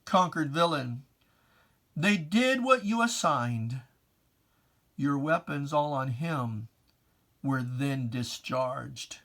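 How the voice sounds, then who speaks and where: A person giving a dramatic reading of religious verse in a theatrical, deliberate, taunting manner with pointed pauses. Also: mid-range voice, animated, fairly bright tone. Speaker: poetry reader.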